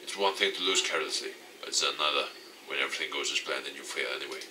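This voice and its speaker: A man reading visual novel game narration aloud in an expressive, dramatic way. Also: rumbling low, racing, recorded from a distance, noisy background. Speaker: gaming YouTuber.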